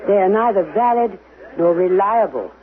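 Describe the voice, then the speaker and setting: An actress playing an anxious mother in a regimented future society, speaking haltingly and stiffly formally in a radio drama. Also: medium pitch, expressive, narrow, tinny audio, natural voice. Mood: worried, halting. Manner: halting, repeats words, stiffly formal without contractions. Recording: band-limited 1970s radio broadcast.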